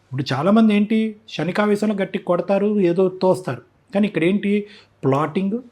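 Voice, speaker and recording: Husky higher-voiced Telugu man explaining. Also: a little fast, darkish tone. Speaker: expert guest. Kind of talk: conversational interview commentary mixing Telugu and English.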